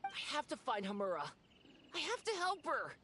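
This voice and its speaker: A woman's voice: high-pitched, wildly swooping pitch, normal speed, background noise. A woman voicing a loyal male imaginary friend creature in a video game, earnest and emotional. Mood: desperate. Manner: emotional, swings between earnest and desperate.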